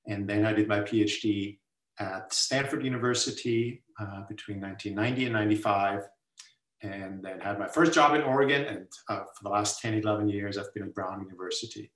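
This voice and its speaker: An older man from Austria, a guest professor talking thoughtfully in a webinar with many filler pauses. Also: fairly low pitch, a bit bright, distant mic. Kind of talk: webinar talk. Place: home room on a video call. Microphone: laptop mic on video call. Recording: roomy video call audio, mic set back.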